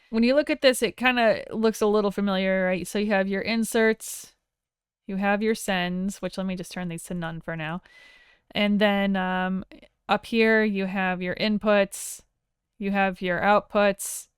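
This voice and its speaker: A woman teaching audio software in a casual, conversational screen-share tutorial, informal and enthusiastic about her tools. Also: mid-range voice, clean tone, dry recording, clean studio sound.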